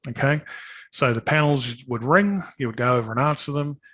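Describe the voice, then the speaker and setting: A man giving an informal sales presentation of products, speaking hesitantly and haltingly, often repeating words. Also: mid-range voice, fairly bright tone.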